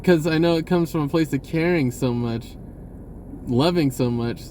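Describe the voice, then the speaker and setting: A man with a fairly high-pitched voice delivers a casual, unscripted monologue. He speaks in short, broken phrases and often circles back on himself as he goes. Some background noise sits faintly behind his voice. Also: moderate pace, darkish tone. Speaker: vlogger giving a personal message.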